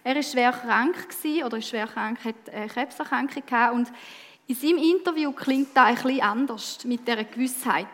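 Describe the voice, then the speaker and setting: A woman presenter calmly introduces a recorded interview, her voice pitched high. She sounds far off, with a long reverb trailing behind her. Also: a little fast.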